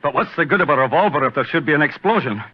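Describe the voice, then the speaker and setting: An actor playing a nervous, fretful gentleman, agitated and melodramatic, in 1940s radio drama style. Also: on the high side, expressive, racing, slightly rough. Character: nervous, panicky, scheming English gentleman with weak heart. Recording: noisy muffled old radio broadcast.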